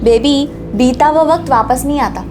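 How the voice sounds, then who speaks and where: High-voiced, frank young girlfriend played by an actress. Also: moderate pace, close to an everyday voice. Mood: teasing, matter-of-fact. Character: frank, teasing young female best friend. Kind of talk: Hindi TV crime serial acting. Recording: dramatic background music.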